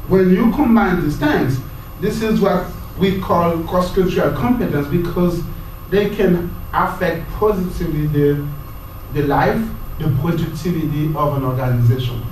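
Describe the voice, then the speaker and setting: A man giving a university lecture, talking in a halting way and often repeating words as he searches for the next one. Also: sits high, darkish tone, a little hiss or hum. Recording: very echoey room, mic far off.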